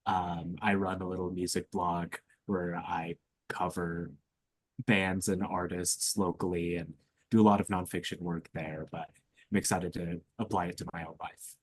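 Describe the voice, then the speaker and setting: Young male, student podcaster; deep voice, casual hesitant chat, dry recording. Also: level tone, unhurried, no echo. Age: young adult.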